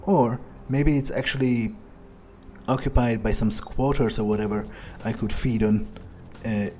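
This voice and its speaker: Mellow, nonchalant man musing aloud. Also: medium pitch, steady tone, measured pace, faint music behind. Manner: nonchalant, musing, hesitant phrasing. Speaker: gamer doing an in-character playthrough. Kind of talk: improvised in-character game commentary, thinking aloud.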